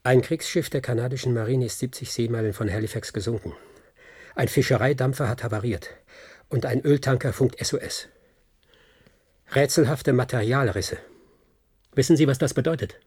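An actor playing a brusque, worried police inspector in a German radio play, with clipped, questioning dramatic delivery. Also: male voice, mid-range voice, moderate pace, pauses often, a little mellow, breathy edge, no room sound, clean studio sound, lightly acted. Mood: alarmed, urgent.

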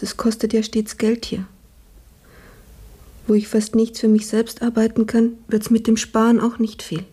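Radio play: frail, ailing young maid, self-effacing, flat and slow, breathy near-whisper. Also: female voice, a little high. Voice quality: husky, breathy, often falling to a whisper. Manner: slow, subdued, halting. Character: gravely ill young maidservant Marie, weary and self-effacing. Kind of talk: literary radio drama acting.

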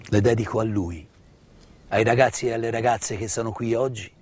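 A man delivers a solemn theatrical monologue, with emphatic, rhetorical phrasing and deliberate pauses. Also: on the low side, fairly even intonation, husky. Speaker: stage actor performing his own monologue. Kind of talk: dramatic theatrical monologue, solemn commemorative storytelling. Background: live musical accompaniment.

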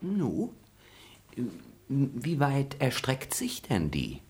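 A man playing a roguish, flirtatious manservant in a classic comedy radio play, husky and theatrical, with a drawling, evasive way of talking. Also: mid-range voice, wildly swooping pitch, drawn-out words, darkish tone, heavily acted. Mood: smarmy flirting.

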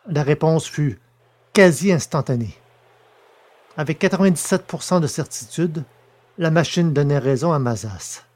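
A man narrating a science fiction audio drama in a steady, measured storytelling way. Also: fairly high pitch.

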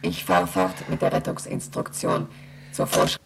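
Radio play station computer: very low, creaky, deliberate and impassive, reading regulations formally over faint noise. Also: male voice, full character voice. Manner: deliberate, monotone, formal announcing. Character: slow, emotionless space station computer reciting emergency regulations.

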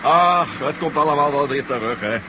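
Over clear engine noise comes a man acting a brash truck driver in a radio play. His voice sits fairly high, and he talks in a chatty, jocular, down-to-earth way, like a familiar workmate. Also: normal speed, lightly acted. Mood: casual. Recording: noisy old radio play recording.

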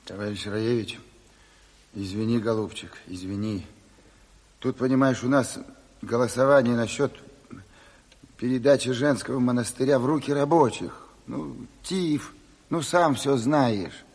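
A man's voice: mid-range voice, a little slow, long pauses, darkish tone, husky. An actor playing a weary, wry, folksy Soviet chairman in a radio play, talking colloquially. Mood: wry, conciliatory. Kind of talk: naturalistic radio play acting. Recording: reverberant room.

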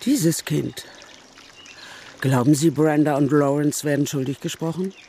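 An actress playing a firm, composed older great-aunt in a crime radio play, speaking calmly. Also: female voice, sits low, measured pace, slight background noise.

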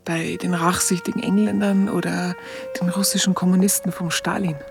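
A woman's voice: on the low side, level tone, moderate pace, vocal fry, music in the background. An actress playing a frank older woman with a Bavarian accent, recalling her youth in a radio drama in a rambling, conversational way. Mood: reminiscing, chatty. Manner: conversational, rambling, with colloquial tags. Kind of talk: first-person narration in a radio drama.

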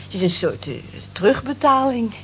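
An actress playing a modest married night cleaner, hesitant and earnest, in a Dutch radio drama. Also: female voice, on the high side, lively intonation, normal speed, a bit bright. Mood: defensive, plain. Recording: dull lo-fi old radio recording, faint noise.

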